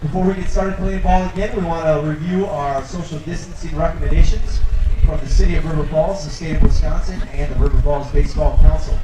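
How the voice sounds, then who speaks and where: Pa announcer guy at a ball field, formal and measured, big echo, far from the mic. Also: noisy background. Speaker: stadium public address announcer. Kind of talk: ballpark PA announcements. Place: outdoor ballpark. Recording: booming echo from a distant PA.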